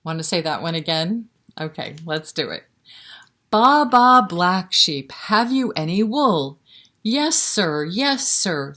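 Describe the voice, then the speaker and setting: A woman's voice: medium pitch, fairly bright tone. A woman leading a storytime for young children, reciting nursery rhymes and talking to them directly in an encouraging, interactive way. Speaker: children's library storytime reader.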